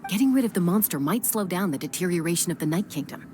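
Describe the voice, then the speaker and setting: A woman's voice: fairly low pitch, expressive, fast, mellow, slight background noise, lightly acted. A woman voicing a composed, resolute warrior leader in a video game, firm and matter-of-fact.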